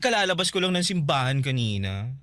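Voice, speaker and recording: An actor playing a friendly, grateful, excitable salon owner in radio drama dialogue, with a chatty, giggly, expressive delivery. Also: male voice, fairly high pitch, fairly quick, dry recording, clean studio sound.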